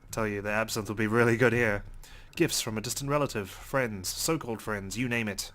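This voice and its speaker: A man's voice: fairly low pitch, steady tone, brisk, a bit bright. A man reading visual novel text aloud as dramatic first-person narration. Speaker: let's play host reading game text aloud.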